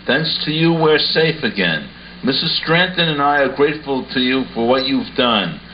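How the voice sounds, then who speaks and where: A wealthy gentleman played by a man speaks formally and deliberately at a middling pitch, with an air of command. It is puppet show dialogue on a muffled recording with faint hiss. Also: lively intonation, close to an everyday voice. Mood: formal, grateful. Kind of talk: amateur puppet show acting.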